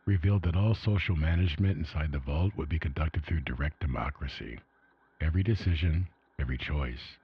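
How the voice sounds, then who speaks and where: A man giving dramatic scripted narration in a solemn, measured, ominous way, through a retro AM radio filter. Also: rumbling low, breathy edge. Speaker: narrator and host of an audio story series. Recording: dull retro AM radio sound.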